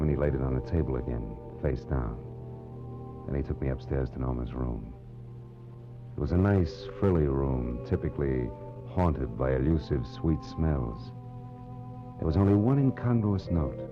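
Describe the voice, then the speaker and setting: An actor playing a wry, weary hardboiled private detective in a deadpan 1940s radio noir style. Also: male voice, rumbling low, exaggerated voice. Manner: deadpan, world-weary, sardonic. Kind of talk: 1940s radio noir acting and first-person narration. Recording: dull old radio recording with loud music.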